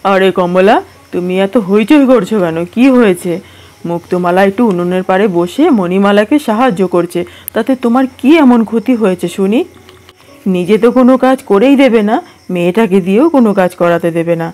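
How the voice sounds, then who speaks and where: A woman voicing a gentle, resigned middle-aged father, speaking in a lower, tired tone. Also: normal speed, lightly acted. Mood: reproachful.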